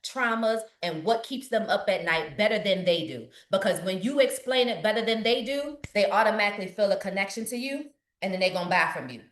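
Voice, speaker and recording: A woman from Richmond, Virginia, a business coach answering questions in a lively, preachy, motivational way. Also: medium pitch, fairly quick, reverberant. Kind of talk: live webinar Q&A.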